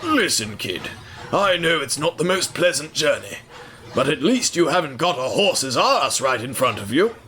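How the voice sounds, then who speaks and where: Casting: a man as an older male talking freight wagon, genial and teasing, raised lively pitch, faint noise behind. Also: normal speed. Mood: calm, proud. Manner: folksy, patient, teasing.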